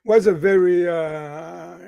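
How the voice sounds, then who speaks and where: A man in his sixties from France with a French accent, a guest in an interview, talking in an animated way and often ending sentences with "right?". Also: high voice, takes it slowly, gravelly, no room sound, muffled recording. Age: 60s. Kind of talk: interview conversation.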